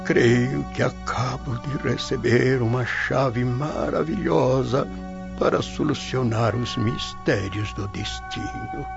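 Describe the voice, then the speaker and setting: Troubled old roman patriarch, brazilian accent, gravelly n really slow solemn prayer, dull audio w soft music. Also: male voice, medium pitch, wildly swooping pitch, heavily acted. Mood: anguished, reverent. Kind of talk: solemn radio drama monologue. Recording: dull lo-fi radio drama, music underneath.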